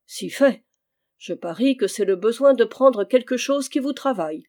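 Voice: medium pitch, expressive, normal speed, a little mellow, close to an everyday voice. A reader voicing a fussy, wealthy male royal steward who exclaims and frets over his possessions, in an audiobook reading. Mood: indignant.

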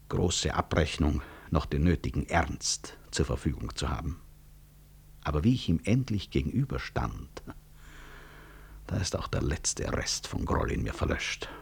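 Weary, husky, deep-voiced professor. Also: male voice, heavily acted. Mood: resolved, then deflated. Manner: reflective, articulate, deliberate stage delivery. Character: weary, proud male physician-professor, disillusioned and wryly self-mocking. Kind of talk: 1960s German radio drama acting.

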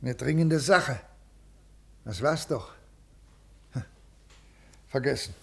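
An actor playing a curt, self-important, fussy government official in a 1950s German radio play, with an officious, pedantic manner. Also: male voice, mid-range voice, slow pace, creaky. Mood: grumbling, uneasy. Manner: officious, pedantic, dictating punctuation aloud. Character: curt, self-important male housing bureaucrat, fussy and self-pitying.

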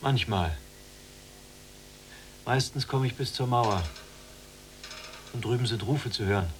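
An actor playing a restless, dreamy younger prisoner longing for escape in a radio play, plain and measured. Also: male voice, medium pitch, a little hiss or hum, natural voice. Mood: dreamy, haunted. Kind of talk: literary radio play acting.

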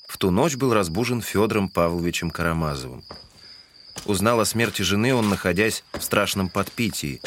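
A man's voice: lowish voice, fairly bright tone, a little hiss or hum. An actor playing a wry townsman who narrates a literary audio drama. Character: storytelling townsman, gossipy and wry. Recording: music behind the narration.

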